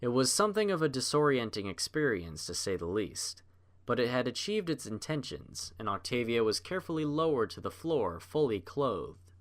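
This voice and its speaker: A man narrates scripted fiction in a medium-pitched voice. His delivery is measured and literary, with very varied intonation that shapes each phrase. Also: big pitch swings.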